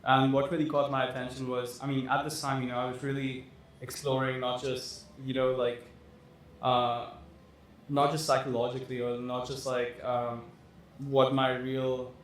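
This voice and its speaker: A man's voice: medium pitch, flat delivery, moderate pace. Young man from Dubai, chatty guest who rambles with fillers; very echoey room, faint hiss. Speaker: fashion designer guest. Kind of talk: casual interview talk.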